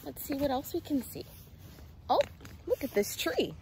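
High, sing-song young woman teaching children. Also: big pitch swings, moderate pace, darkish tone, a little hiss or hum. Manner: sing-song, simple child-directed talk with questions. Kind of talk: guided nature walk for young children. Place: outdoors in a residential yard. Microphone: phone at arm's length.

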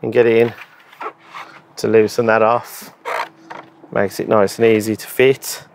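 Hoarse man explaining a charger install. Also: lowish voice, measured pace, slight background noise. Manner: relaxed, explanatory, with frequent ums and so's. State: hoarse, losing his voice. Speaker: EV charger installer and channel host. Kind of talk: hands-on installation demo. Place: outdoor garden beside a wooden shed.

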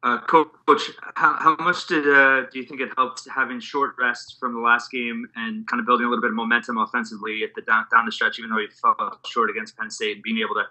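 A man's voice: mid-range voice, level tone, fairly quick, few pauses, pushed and bright, rough recording. A man, a reporter, asking a coach a long rambling question with restarts. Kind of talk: press conference question.

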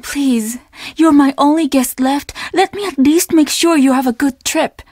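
High-voiced, polite young innkeeper. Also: female voice, unhurried, darkish tone, no room sound, clean studio sound. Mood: strained, apologetic. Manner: earnest, apologetic, formally polite. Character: polite, troubled young innkeeper woman at a Japanese inn. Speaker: voice actor in a video game. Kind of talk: video game character dialogue.

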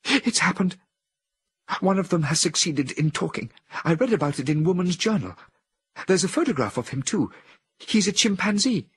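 A man's voice: sits high, very animated, normal speed, long pauses, slightly rough, voice pushed up, exaggerated voice. A man voicing a frightened woman speaking in anguish under hypnosis, in an audiobook reading. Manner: anguished, sighing.

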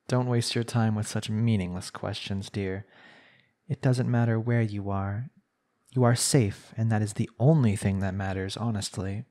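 A man's voice: on the low side, darkish tone. A man playing a smug, bratty villain who flirts with his captive in an intimate, condescending ASMR roleplay. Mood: smug, teasing. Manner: teasing, condescending, unhurried pet-name sweet talk.

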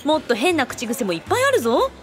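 A woman plays a tomboyish young lady with a high, very animated voice. She talks bluntly and casually, teasing like a big sister in a comic radio drama. Music plays clearly behind her. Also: fast talker. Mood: amused.

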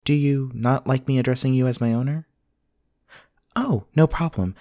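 A man playing a polite, earnest companion robot in an ASMR roleplay, speaking in formal, measured, precise sentences. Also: medium pitch, mellow, no echo, studio quality, lightly acted. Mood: courteous, helpful. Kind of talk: scripted ASMR roleplay acting.

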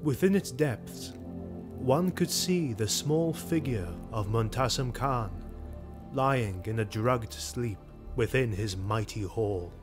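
An actor narrating a sword-and-sorcery audio drama as a solemn storyteller, measured and literary. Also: male voice, mid-range voice, over music, close to an everyday voice.

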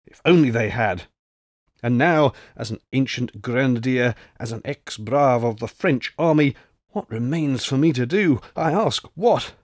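A man voicing a boisterous, crafty old French ex-soldier in an audiobook, all exclamations and flattery. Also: medium pitch, dry recording, clean studio sound, close to an everyday voice. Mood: jovial. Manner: blustering, exclamatory, drops into confidential tones. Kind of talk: audiobook character voice.

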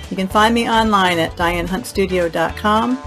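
A woman's voice: medium pitch, moderate pace, fairly bright tone, faint music behind. A woman from New Jersey chatting casually as a podcast panelist. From New Jersey, United States.

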